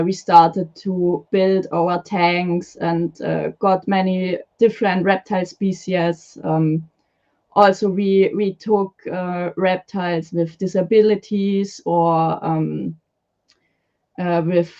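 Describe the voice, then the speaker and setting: A woman's voice: fairly low pitch, warm tone, dull audio. A woman from Switzerland with a Swiss German accent, a guest talking about keeping reptiles, hesitant with many fillers. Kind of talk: live stream interview. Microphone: video call.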